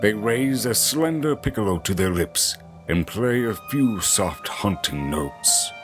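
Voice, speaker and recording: A man with a lowish voice narrates a fantasy story theatrically. His pitch moves expressively from phrase to phrase, with prominent music playing behind him. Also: expressive, slow. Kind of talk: dramatic scripted fantasy narration.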